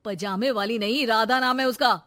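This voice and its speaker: A woman voicing a gentle young husband in a cartoon who talks in a soothing, coaxing way. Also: fairly high pitch, normal speed, close to an everyday voice.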